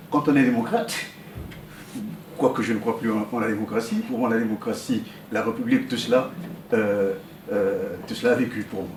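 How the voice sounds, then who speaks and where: A man's voice: medium pitch, recorded from a distance. A man from the Republic of the Congo, whose first language is Okutuba, speaks at a community meeting with many hesitant fillers, and his voice climbs sharply when he presses a point. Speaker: community member addressing a meeting. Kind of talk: impassioned public remarks. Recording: roomy sound from off-mic, faint hum.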